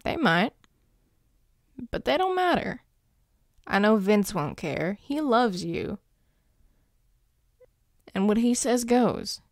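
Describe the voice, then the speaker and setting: A woman playing a grumpy but devoted male werewolf boyfriend, speaking intimately to the listener in a gruff, tender, halting way. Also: medium pitch, big pitch swings, dry recording, clean studio sound. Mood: tender, reassuring. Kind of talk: intimate audio roleplay, spoken to the listener.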